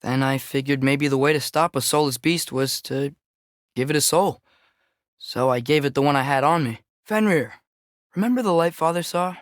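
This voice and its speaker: A man's voice: on the high side, moderate pace, no room sound, studio quality, close to an everyday voice. A young man playing a curious, earnest teenage boy in a Norse myth video game, talking in a natural, slightly hesitant way. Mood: explaining.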